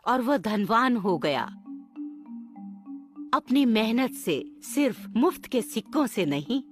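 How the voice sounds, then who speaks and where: A woman narrating a cartoon moral story in a measured storytelling manner. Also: a little high.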